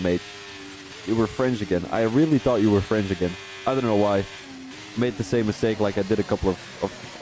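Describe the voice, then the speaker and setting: A man from Belgium, doing live race commentary for a sim racing league, rambling in chatty asides and rising to excited calls on overtakes. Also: on the low side.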